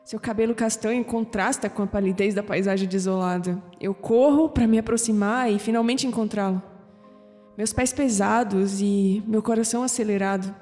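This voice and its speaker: Actress as a wistful young Brazilian woman, mellow, reverberant. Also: medium pitch, steady tone, moderate pace, natural voice. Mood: dreamy, uneasy. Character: young woman, wistful, anguished skeptic haunted by dreams. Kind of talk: audio drama acting, inner monologue. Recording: long reverb, music underneath.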